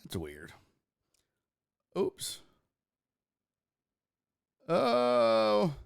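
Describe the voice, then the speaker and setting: A man hosting a casual livestream sports talk show, hoarse and raspy from losing his voice, joking crudely and mocking himself as he chats with viewers. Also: high voice, wildly swooping pitch, very slow, no echo, studio quality. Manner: crude, self-mocking banter with chat. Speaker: host.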